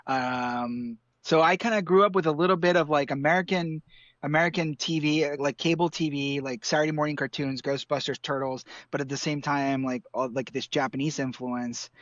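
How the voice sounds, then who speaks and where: A man's voice: a little high, normal speed, no room sound. Easygoing man, rambling, dull dry audio. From Ecuador. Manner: relaxed, rambling with many fillers. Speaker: guest artist. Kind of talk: casual interview chat. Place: home room in hot city with air conditioning.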